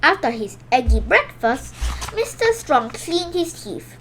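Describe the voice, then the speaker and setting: A woman reads a children's picture book in a high voice. Her delivery swings up and down with lots of expression, punching out sound words and now and then correcting a slip. There is faint noise behind her. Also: measured pace. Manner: animated, exclaims sound words, stumbles and corrects herself. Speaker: storybook reader for a children's video channel.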